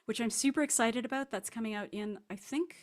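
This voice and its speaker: A woman's voice: fairly high pitch, a little fast. A woman speaking casually as a guest author in an interview, rambling and self-deprecating. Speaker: guest fiction writer.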